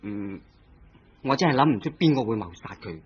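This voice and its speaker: A grumbling suspect husband. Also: male voice, fairly low pitch, animated, normal speed, slightly rough. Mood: subdued. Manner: grumbling, defensive. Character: irritable, tight-fisted husband, murder suspect. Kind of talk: vintage radio drama acting. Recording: dull lo-fi old radio recording with faint hiss.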